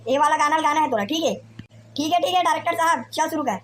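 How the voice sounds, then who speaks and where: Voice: high-pitched, fast, background noise, lightly acted. A performer voicing brash, bickering cartoon film folk with a Chhattisgarhi accent and slangy village speech. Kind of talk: comedy cartoon dialogue in colloquial village speech.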